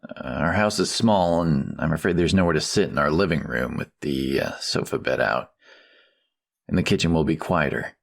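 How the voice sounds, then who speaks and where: A worried middle-aged dad played by a male audiobook actor with a low voice full of creak. He is polite and hesitant, feeling his way through each halting phrase. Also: exaggerated voice. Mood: polite, hospitable. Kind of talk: audiobook dialogue acting.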